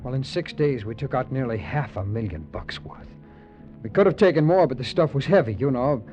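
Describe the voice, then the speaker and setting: A hard-boiled, wisecracking adventurer, played by an actor tells his tale in an old radio drama, his voice rising and falling with lively pitch. The sound is dull and lo-fi, with music under it. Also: a little fast, lightly acted. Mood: narrating. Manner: dry, sardonic, hard-boiled. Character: wisecracking, tough adventurer man narrating. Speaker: narrator and lead adventurer. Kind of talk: 1940s radio acting.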